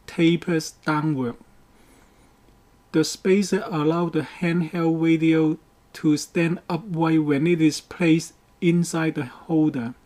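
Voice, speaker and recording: A man delivers a scripted how-to narration with a slow, careful pace. His voice sits a little high for a man and has a soft, mellow tone. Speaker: DIY boat project presenter.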